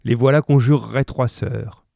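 A man's voice: mid-range voice, fairly even intonation, normal speed, creaky, warm tone, dry recording, studio quality, close to an everyday voice. A man voicing a shrewd, coarse innkeeper's wife with a plain-spoken, guarded manner, in an audiobook. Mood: chatty.

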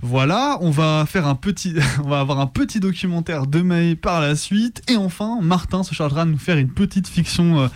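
A man's voice: on the high side, animated, normal speed, few pauses, dry recording. A man with a French accent co-hosting a radio show in an informal, slangy way. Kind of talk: informal radio commentary and presenting. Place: radio studio.

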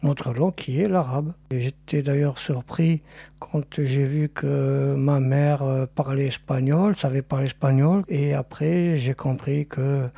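Husky older man. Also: medium pitch, steady tone, unhurried, dark tone. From Algeria. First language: Algerian Arabic. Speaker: interviewee in a podcast. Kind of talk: recorded family interview.

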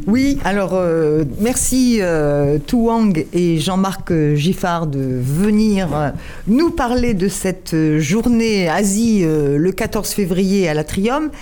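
Woman, radio interviewer, mellow voice, hesitant, many fillers. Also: mid-range voice, lively intonation, slow pace. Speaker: radio show co-host.

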